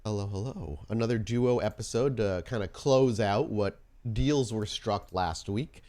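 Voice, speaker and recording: Male game storyteller, lowish voice, informal podcast hosting that moves into deliberate narrative scene-setting. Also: dark tone.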